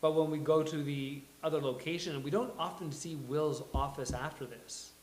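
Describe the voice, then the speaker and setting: A man's voice: a little high, normal speed, a little hiss or hum. Man hosting in an echoey room. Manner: conversational, thinking aloud. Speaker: host of a live table read discussion. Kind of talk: casual panel discussion. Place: live venue with a small studio audience.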